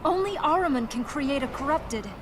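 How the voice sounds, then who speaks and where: A woman's voice: sits high, animated, normal speed, noisy background. An actress playing an earnest, resolute young female guardian in a video game, speaking seriously and purposefully. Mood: urgent, concerned. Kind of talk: video game dialogue acting.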